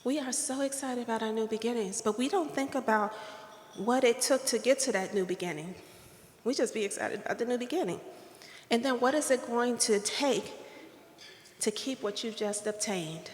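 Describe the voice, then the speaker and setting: A woman's voice: fairly high pitch, normal speed, a little hiss or hum. A woman with a light, girlish voice gives a playful, preacherly awards-dinner speech at a podium microphone, drawing call and response from the room. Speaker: keynote speaker at awards dinner. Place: hotel ballroom. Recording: echoey ballroom, reverb.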